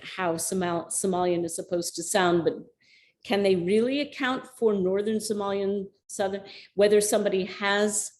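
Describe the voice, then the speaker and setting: Woman, mid-pitched voice, measured academic answer, hesitant restarts, video call. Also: darkish tone, slight background noise. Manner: thoughtful, searching, with restarts. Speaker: guest lecturer.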